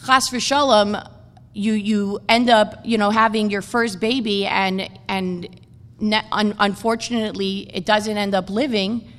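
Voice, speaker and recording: A woman giving an inspirational talk, earnest and repeating key phrases for emphasis. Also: medium pitch. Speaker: speaker giving a blessing. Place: hall. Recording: reverberant hall.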